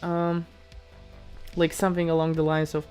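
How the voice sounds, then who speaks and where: A man's voice: a little high, monotone, normal speed, a little mellow, faint music behind. A man from Moldova chatting casually on a livestream as he answers viewers, hesitant, often repeating himself and trailing off. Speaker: live streamer answering chat questions.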